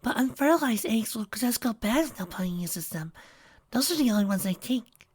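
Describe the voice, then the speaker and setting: A woman's voice: on the high side, animated, normal speed, a little mellow, husky. A woman doing a timid, whispery pony mare. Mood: timid, gentle. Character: shy, gentle pegasus mare who talks to animals.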